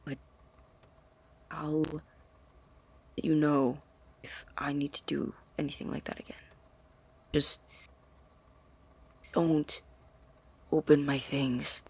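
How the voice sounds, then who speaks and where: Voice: mid-range voice, little pitch movement, slow pace, pauses often, breathy edge. An actor playing an anxious, secretive man in an audio drama, stammering and defensive as he guards his experiments. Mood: subdued, tense. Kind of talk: naturalistic audio drama acting.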